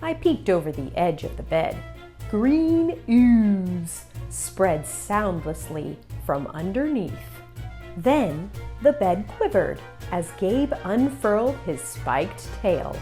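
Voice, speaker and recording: A woman reading a children's picture book aloud as an expressive, theatrical narrator with dramatic pauses and emphasis. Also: medium pitch, soft background music. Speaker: children's story reader.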